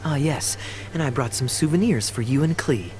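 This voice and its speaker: A man's voice: medium pitch, lively intonation, moderate pace, a little mellow, a little hiss or hum, close to an everyday voice. A man voicing a suave, easygoing young knight with a sly, playful and casual manner, in video game style. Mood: teasing.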